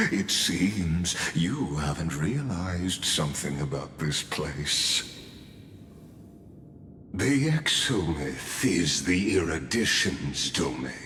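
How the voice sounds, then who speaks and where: An actor voicing an enigmatic, calculating cosmic wanderer in a video game, speaking formally and grandly with deliberate pauses. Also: male voice, low-pitched, sing-song, fairly bright tone, a little hiss or hum, exaggerated voice. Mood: condescending, calm. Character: enigmatic, calculating male cosmic wanderer offering sinister bargains. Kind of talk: scripted video game character dialogue. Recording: echoey, with music underneath. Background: background music.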